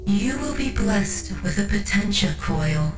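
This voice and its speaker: A woman's voice: mid-range voice, expressive, lightly acted. A woman voicing a solemn, devout cyborg tech priest surgeon in a formal, reassuring ASMR roleplay. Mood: calm, reverent. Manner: slow, formal, ceremonial, reassuring. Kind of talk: ASMR roleplay, scripted monologue. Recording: roomy echo, distant, music behind.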